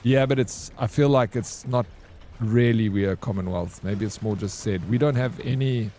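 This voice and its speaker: A South African man, a gaming streamer, chatting casually and haltingly with viewers while he plays. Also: on the low side, dark tone, a little hiss or hum. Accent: South African English. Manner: rambling and hesitant, full of ums and restarts.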